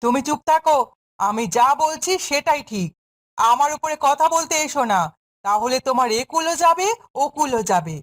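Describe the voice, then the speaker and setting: A woman's voice: sits high, animated, normal speed. A woman playing a cruel, domineering stepmother in a fairy-tale cartoon, speaking in a harsh, scolding, sneering way. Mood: spiteful commanding.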